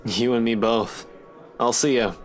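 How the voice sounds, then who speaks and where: A man's voice: mid-range voice, steady tone, measured pace, soft background music, close to an everyday voice. An actor playing a skeptical, wry young male investigator in a visual novel game, dry and sardonic. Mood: dry, resigned.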